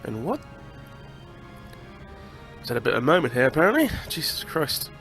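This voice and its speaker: A man's voice: medium pitch, very animated, normal speed, a bit bright, soft background music. Chatty man with a stuffy cold. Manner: chatty, swears, laughs at the game. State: congested with a cold. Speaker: gaming channel host. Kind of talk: casual let's-play commentary.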